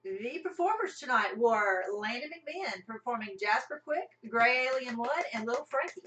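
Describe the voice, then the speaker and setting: A woman's voice: a little high, lively intonation, moderate pace, a bit bright, recorded from a distance, slight background noise. A woman, chatting casually as co-host of a live stream. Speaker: livestream co-host and radio play performer. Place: indoor room, group sharing one mic. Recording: echoey room, off from the mic.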